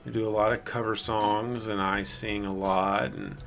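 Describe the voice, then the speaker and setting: Hoarse, gravelly man narrating gameplay. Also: lowish voice, little pitch movement, moderate pace, a bit bright, soft background music. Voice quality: raspy. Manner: rambling, self-deprecating, dry sarcasm. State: hoarse from singing the night before. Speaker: gameplay video host. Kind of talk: casual gameplay commentary.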